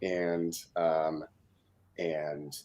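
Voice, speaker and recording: A man in his twenties from Russia, answering interview questions in a hesitant, halting way with many fillers. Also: rumbling low, level tone, darkish tone, lo-fi sound. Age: 20s. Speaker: interview guest.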